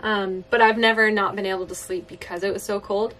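A woman's voice: mid-range voice, fairly even intonation, a little fast, a bit bright, far from the mic, a little hiss or hum, rough recording. A young woman who lives on a sailboat, chatting casually, often saying "like" and laughing lightly, inside a small boat cabin. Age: young adult. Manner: chatty, giggly, uses "like" often. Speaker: sailboat-living vlogger. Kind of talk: casual chat.

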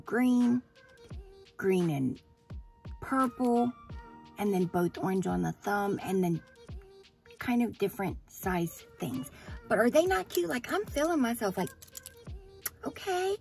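Hoarse, gravelly chatty woman vlogger. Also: medium pitch. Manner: chatty, rambling, gushing. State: hoarse after surgery. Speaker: beauty vlogger. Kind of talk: casual chat to camera.

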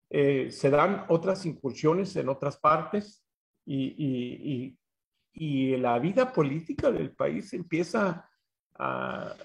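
A man gives a talk recalling his memories, haltingly, searching for words and repeating phrases. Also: fairly high pitch, gravelly. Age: 70s. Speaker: speaker at a history symposium. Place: small bright room indoors, on a video call.

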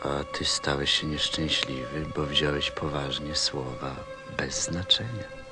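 A man playing a grave, gentle narrator and confidant, telling a story in a measured, reflective way. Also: very deep voice, huge pitch swings, over music, heavily acted.

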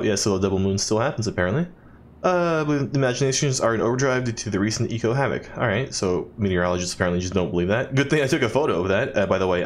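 A young man giving casual video game commentary as a let's play host, rambling with wry asides. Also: fairly low pitch.

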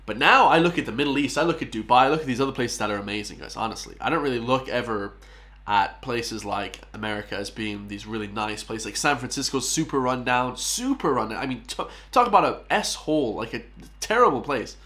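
A man's voice: medium pitch, animated, a little fast, fairly bright tone. A young Irish man chatting casually in a small room as he reacts to a video, often calling out to "guys". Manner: chatty, keeps addressing "guys". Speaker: YouTube reactor.

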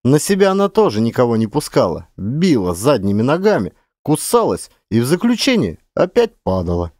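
A man reading literary prose as a dramatic audiobook narrator, expressively, with shifting emphasis and pauses. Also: medium pitch, darkish tone, no echo, clean studio sound.

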